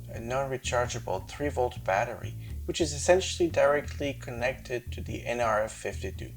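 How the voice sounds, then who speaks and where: Gravelly-voiced man presenting a tech demo. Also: medium pitch, a little mellow. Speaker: maker presenting his hardware and firmware project. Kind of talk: explanatory tech demo narration.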